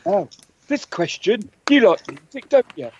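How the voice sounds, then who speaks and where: A man's voice: high voice, very animated, unhurried, darkish tone, muffled recording, slight background noise. A man co-hosting a comedy podcast, reading out quiz questions playfully and with stumbles. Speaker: podcast co-host and quizmaster.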